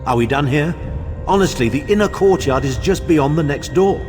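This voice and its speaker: A man's voice: on the high side, lively intonation, moderate pace, darkish tone, lightly acted. An actor playing a haughty, impatient nobleman in a fantasy game, with a dry, arch, sneering manner. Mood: sardonic. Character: haughty, sardonic, impatient male nobleman companion. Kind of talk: fantasy video game acting. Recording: long reverb, faint music.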